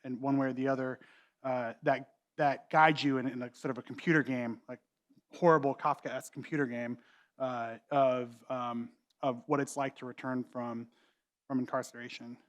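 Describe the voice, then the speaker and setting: A man's voice: medium pitch, fairly even intonation, normal speed. A man who leads a small company, speaking reflectively on a panel with many restarts. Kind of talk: panel discussion answer.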